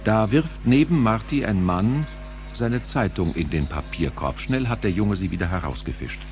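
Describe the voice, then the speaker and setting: A man's voice: lowish voice, lively intonation, normal speed, a little mellow, soft background music. A man narrating an audio drama in a dramatic, scripted style.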